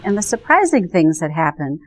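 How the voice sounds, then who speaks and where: A middle-aged woman with an American accent gives a conversational interview. Her voice sits on the low side, and she picks her words thoughtfully, with some halting phrases. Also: big pitch swings, over loud music. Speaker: arts education program representative. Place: indoors in front of a curtain.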